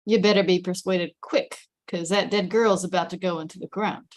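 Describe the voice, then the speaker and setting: A woman's voice: fairly low pitch, steady tone, moderate pace, lightly acted. A woman playing a blunt, shrewd detective in a script table read, terse and probing. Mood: blunt, sly.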